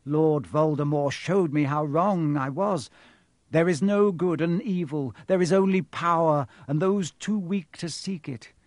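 A man narrating a storybook, voicing a cold, gloating male villain professor with a sharp, mocking, unhurried delivery. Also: a little high, no echo, clean studio sound, pitched above the performer's own voice, natural voice. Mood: fervent, submissive.

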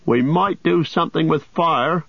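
A man's voice: on the high side, measured pace, vocal fry, breathy edge, dry recording, lo-fi sound, voice pushed up, heavily acted. A man voicing a loyal, stubborn, plain-spoken male hobbit servant in a storybook, earnest and homely. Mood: thoughtful.

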